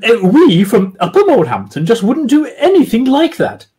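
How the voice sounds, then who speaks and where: A man reading aloud and voicing a haughty, posh woman with a high-pitched squawk and a drawn-out, schoolmistress-like manner. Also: huge pitch swings, a little mellow, full character voice. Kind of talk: storybook reading.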